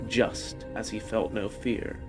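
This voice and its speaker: A man reads a scripted storybook narration in the manner of an amateur audiobook. His voice sits at an ordinary middle pitch, and he delivers the prose as a narrator. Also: flat delivery, takes it slowly, over music.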